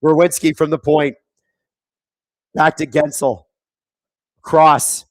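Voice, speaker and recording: A man with a thin, raspy, hoarse voice hosts a live hockey watchalong, chatting casually, reading viewer comments and calling plays excitedly. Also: a little high, slow pace, no room sound. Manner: casual, reads viewer chat aloud; excitable play-by-play on big moments. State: hoarse, voice squeaking. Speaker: watchalong host. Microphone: streaming microphone.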